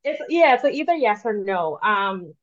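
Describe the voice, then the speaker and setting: A woman from the Bahamas, in her thirties, speaking as a career coach guest in a relaxed, thoughtful way with frequent fillers. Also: medium pitch, measured pace. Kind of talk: casual interview talk. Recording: dull lo-fi sound.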